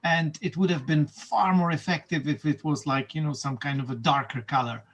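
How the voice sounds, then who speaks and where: Man hosting a video call, slightly high voice, easygoing, lots of ums. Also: moderate pace. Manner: relaxed, chatty, many fillers and small laughs. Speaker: meeting moderator and teacher. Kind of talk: online video meeting chat.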